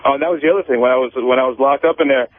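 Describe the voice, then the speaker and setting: Halting man on a tinny phone line. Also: medium pitch, steady tone, no echo. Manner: halting, rambling, repeats words and stammers. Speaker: phone-in caller on a radio talk show. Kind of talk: casual confessional chat. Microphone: telephone.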